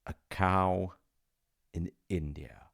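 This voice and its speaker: A man playing a chummy, rule-bending supernatural agent of reincarnation in a comedic audio roleplay, with a creaky voice and informal, hesitant salesman-like patter. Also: low voice, drawn-out words, fairly bright tone, full character voice. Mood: upbeat pitching.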